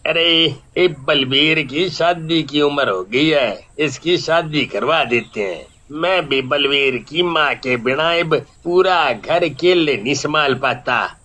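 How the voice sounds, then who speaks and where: A man's voice: a little high, sharp tone, exaggerated voice. An actor playing a stern, practical aging village father, scolding and plain-spoken in a story. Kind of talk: dramatized Hindi moral story acting.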